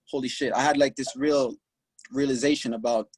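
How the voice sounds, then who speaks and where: Husky young man, dry close sound. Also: medium pitch, steady tone, dry recording. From Haiti. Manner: earnest and proud, speaks in short halting bursts. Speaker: podcast guest. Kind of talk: casual interview talk. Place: his clothing shop.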